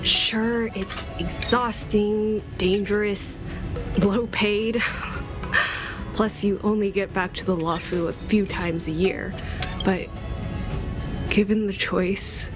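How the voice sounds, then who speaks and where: A woman's voice: medium pitch, fairly even intonation, slow pace, close to an everyday voice. An actress playing a wry, devoted mother who flies as a daring fighter pilot in a dramatic video game. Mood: wry, fond. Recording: music behind the voice.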